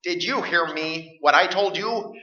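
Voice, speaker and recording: A dull, echoey stage recording of a radio play. An actor plays a combative middle-aged man with a very sharp, high voice. He is stubborn and scared, and he stammers as he argues. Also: a little slow, full character voice. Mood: combative, agitated. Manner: argumentative, agitated, stammering. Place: live stage performance.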